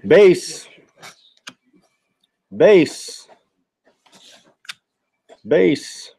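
A man's voice: on the high side, a little mellow. A man hosting a card box break, announcing each item in clipped, short bursts. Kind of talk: live card-opening commentary, terse announcing. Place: card shop with onlookers seated nearby.

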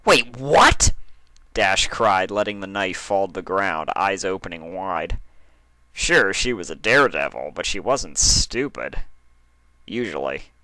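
Deep, bright-voiced man narrating a story. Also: a little slow, dry recording. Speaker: fan fiction reader, narrator.

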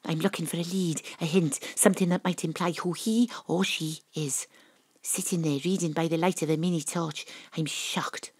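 Here is a female private detective, wry and self-mocking, telling her case in an audio drama. Her voice is husky and recorded very dry, and she relishes every word with theatrical flair. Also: on the low side, steady tone, normal speed, darkish tone, no room sound. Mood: hushed, florid. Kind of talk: first-person detective audio drama narration and dialogue.

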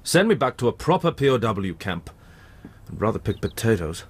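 A man's voice: on the low side, very animated, normal speed, slightly rough. A man playing a guarded, reproachful captive pilot in a radio drama. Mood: bitter, impatient. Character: bitter, wary captive German pilot, adult man.

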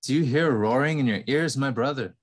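A man's voice: mid-range voice, a little fast, natural voice. A man voicing a small, busy young male mouse in a told story, asking things in an earnest, eager way over a video call. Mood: curious.

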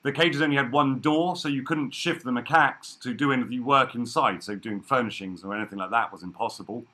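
A man in his thirties giving a relaxed, chatty workshop talk over a video call from an office room, with frequent ums. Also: mid-range voice, brisk. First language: English. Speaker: workshop speaker. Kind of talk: casual presentation. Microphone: laptop webcam video call.

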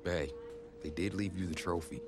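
An actor with an American accent playing an eager, loyal young college football player in a video game. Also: male voice, sits low, moderate pace, lightly acted. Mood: warm, loyal. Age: young adult. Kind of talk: naturalistic cutscene acting. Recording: music behind the voice.

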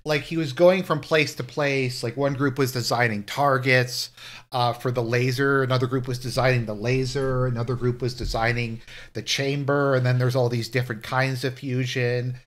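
A man's voice: medium pitch, a bit bright. Man musing in a casual solo commentary. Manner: thoughtful, musing, with frequent restarts and rising emphasis. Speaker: video essay host.

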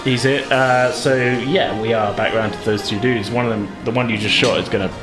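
A man's voice: medium pitch, steady tone, normal speed. A man acting as game master, explaining and narrating a tabletop role-playing game in a casual, informal way. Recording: music behind the voice.

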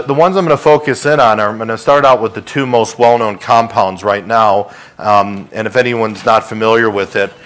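A man's voice: on the low side, fairly bright tone. A middle-aged man presenting to investors as a company executive, in an informal, conversational sales style, often rephrasing as he goes. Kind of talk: informal business pitch to an audience. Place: hotel conference room with seated audience.

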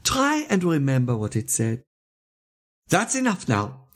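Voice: mid-range voice, huge pitch swings, a little slow. A narrator playing a stern, controlling male tour leader in a literary story, grim and patronizing. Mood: quiet, scolding. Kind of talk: dramatised literary reading.

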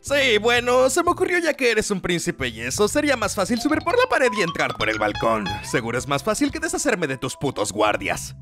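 A man's voice: high-pitched, huge pitch swings, brisk, few pauses, bright, forceful tone, faint music behind, exaggerated voice. A man voicing a cocky, cheeky imp assassin in a Latin American Spanish cartoon dub. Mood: awkward, improvising. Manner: cheeky, slick. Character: cocky imp assassin, former circus clown. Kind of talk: animated cartoon fan dub.

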